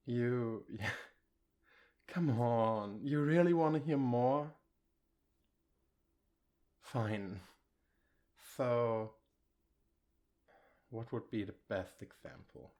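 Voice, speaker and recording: A man playing an affectionate, reassuring boyfriend in an intimate ASMR comfort roleplay, speaking gently and a little haltingly. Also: mid-range voice. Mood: embarrassed, playful. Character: affectionate, reassuring boyfriend, shyly bragging about his partner.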